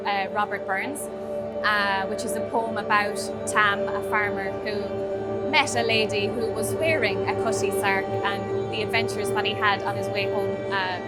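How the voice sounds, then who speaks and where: A woman composer explaining her piece in a measured, thoughtful way during an interview. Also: medium pitch.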